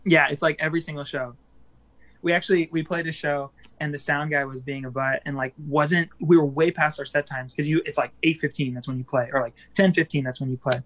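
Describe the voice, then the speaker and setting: A man with a somewhat high voice talks casually as a musician guest in an interview. He rambles easily, full of fillers and little laughs. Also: steady tone, a little fast, fairly bright tone.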